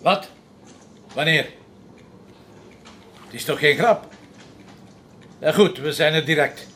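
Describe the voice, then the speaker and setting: An actor playing a polite but persistent police inspector in a crime drama, speaking with a Flemish accent. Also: male voice, on the high side, slow pace, pushed and bright, a little hiss or hum. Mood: hurried, flustered.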